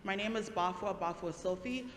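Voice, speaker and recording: A young woman student from Ghana asks a polite question from the audience, talking quickly and a little nervously. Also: medium pitch. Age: young adult. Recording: echoey hall with faint noise.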